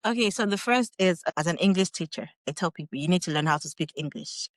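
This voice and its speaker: A young Nigerian woman, a guest on an interview podcast, telling her story in a relaxed, chatty way and laughing often. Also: fairly low pitch, quick pace, dry recording, studio quality. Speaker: guest, English teacher in Japan.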